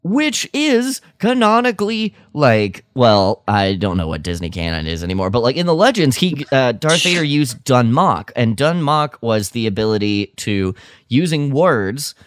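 A man's voice: medium pitch, very animated, normal speed, no echo. A man co-hosting a podcast in casual fan discussion, explaining things with plenty of "like". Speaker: podcast co-host.

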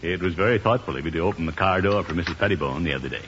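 An actor playing a pompous, self-satisfied middle-aged uncle in a 1950s radio comedy. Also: male voice, sits low, rapid-fire, a bit bright. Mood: proud, insistent. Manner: pompous, preening, chuckling. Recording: muffled, noisy 1950s radio recording.

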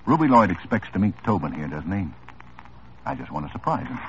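Brief: low-voiced sarcastic cop, lively delivery, muffled vintage radio. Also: male voice, a little fast, creaky, a little hiss or hum, full character voice. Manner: dry, needling, sarcastic. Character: tough wry male police lieutenant. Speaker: police lieutenant. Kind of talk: 1950s radio drama acting. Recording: dull old radio broadcast with music.

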